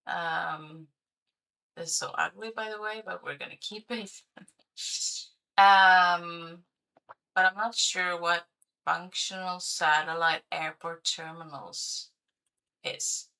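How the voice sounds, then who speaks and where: Slow, gravelly woman streamer. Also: lowish voice, steady tone, sharp tone, poor audio. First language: Swedish. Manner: chatty, thinking aloud, hesitant with fillers. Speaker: game streamer. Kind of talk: casual livestream commentary while playing.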